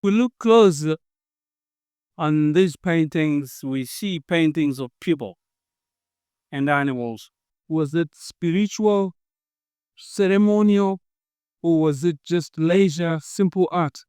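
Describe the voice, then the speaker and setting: A man working as a tour guide, explaining sites slowly with many fillers. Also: fairly high pitch, darkish tone, smooth, dry recording, clean studio sound.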